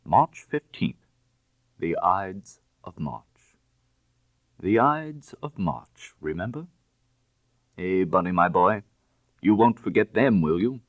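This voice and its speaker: A man plays a deep-voiced gentleman thief from a classic crime story, suave and cynical. His delivery is breezy and commanding, swinging widely in pitch as he teases in old-fashioned upper-class slang. The sound is close and very dry. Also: animated, slow pace, pauses often, no room sound, narrow, tinny audio, exaggerated voice. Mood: exuberant, teasing. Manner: jaunty, teasing, Edwardian gentlemanly slang. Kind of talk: dramatised audiobook reading of classic fiction.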